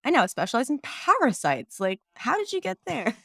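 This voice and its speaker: A woman's voice: high-pitched, big pitch swings, brisk, gravelly, husky, no room sound, studio quality. A woman co-hosting a podcast, chatting playfully with the guests and often saying "like". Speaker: podcast co-host. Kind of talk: casual podcast chat.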